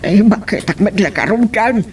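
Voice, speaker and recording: An actress playing a humble household servant with a Filipino accent in a radio drama. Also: female voice, mid-range voice, animated, normal speed, a little mellow, a little hiss or hum, close to an everyday voice. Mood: hesitant.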